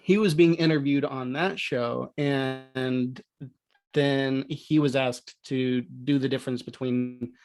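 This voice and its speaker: Man chatting on a podcast, medium pitch. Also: steady tone, slow pace, a little mellow. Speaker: podcast panelist. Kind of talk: casual chat.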